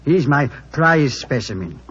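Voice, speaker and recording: An actor playing a touchy, jealous middle-aged British husband in an old radio drama, with a prickly, sardonic manner. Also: male voice, medium pitch, animated, a little slow, a bit bright, breathy edge. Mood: proud, enthusiastic. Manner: prickly, sardonic, clipped asides. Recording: vintage radio recording.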